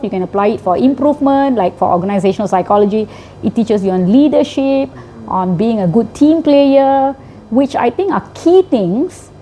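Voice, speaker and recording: Malaysian English woman, middle-aged teacher; mellow, explanatory, keeps checking in with "right?"; faint noise behind. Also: fairly high pitch. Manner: explanatory, often ends with a tag question. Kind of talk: interview answers.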